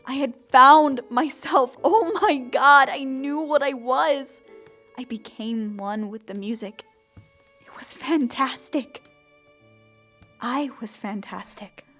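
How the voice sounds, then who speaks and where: A determined young zombie girl played by a woman speaks in a fairly high voice over light music. She is earnest and theatrical, like the heroine narrating her own story in an audio drama. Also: slow, bright, forceful tone, heavily acted. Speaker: lead character and narrator. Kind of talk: audio drama acting and first-person narration.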